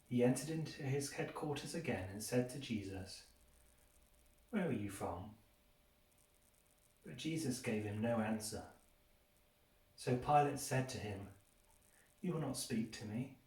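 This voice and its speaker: A man's voice: mid-range voice, expressive, a little slow, long pauses, a little mellow. A man giving a dramatic scripture reading, emphatic and raising his voice on quoted speech. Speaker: scripture reader. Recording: echoey room, distant microphone.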